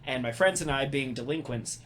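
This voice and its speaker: A man chatting casually as a podcast host, telling stories in an animated, halting way with casual swearing. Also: mid-range voice, slight background noise. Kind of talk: casual podcast chat and storytelling.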